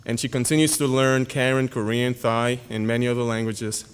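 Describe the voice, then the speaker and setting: A man's voice: mid-range voice, level tone, smooth. Young man reading an earnest speech. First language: Yoruba. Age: young adult. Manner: earnest, formal, with halting pauses and fillers. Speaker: graduating senior giving a speech. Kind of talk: prepared speech read at a podium. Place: school auditorium. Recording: hall reverb.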